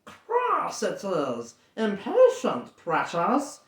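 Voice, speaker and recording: A reader voicing a wretched, greedy cave creature who hisses and whispers, jumping from shrill squeals to low mutters. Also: huge pitch swings, drawn-out words, heavily acted. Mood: sly scheming. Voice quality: hissing, whispery, lisping plurals. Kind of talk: audiobook character voice. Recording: roomy echo.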